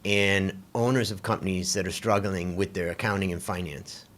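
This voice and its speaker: A man's voice: low-pitched, fairly even intonation, normal speed, a bit bright. A man hosting an interview, asking curious, conversational questions. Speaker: podcast host.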